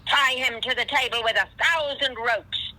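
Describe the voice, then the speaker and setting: A woman's voice: on the high side, normal speed, sharp tone, pitched above the performer's own voice, poor audio. A woman voicing an evil, tyrannical witch queen, screeching and imperious, in a children's storybook reading. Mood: triumphant.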